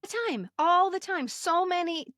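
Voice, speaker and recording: A middle-aged woman chatting casually as a podcast co-host, repeating phrases deliberately for emphasis. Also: very high-pitched, fairly bright tone, dry recording, clean studio sound. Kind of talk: casual chat.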